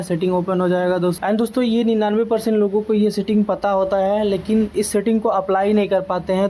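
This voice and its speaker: High-pitched, flat-toned Indian man, noisy recording. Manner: repetitive, addresses listeners as friends often. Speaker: tech tutorial host. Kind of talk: casual step-by-step phone settings tutorial. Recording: noticeable background noise.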